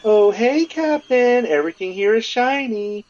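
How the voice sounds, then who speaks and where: A man voicing a goofy, boozy, defensive cowboy gunslinger in an audio story, with excitable delivery. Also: extremely high pitch, measured pace, highs cut off, pitched above the performer's own voice. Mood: bubbly, startled.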